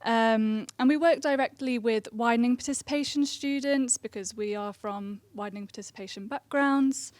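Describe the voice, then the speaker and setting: A young woman in her twenties speaks softly in a fairly high voice, giving a conference talk. Her delivery is quiet but steady. The sound is dry and close, with little room echo. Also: normal speed, hardly any pauses. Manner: soft-spoken, quiet voice. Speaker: careers assistant, panel speaker. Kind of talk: conference presentation.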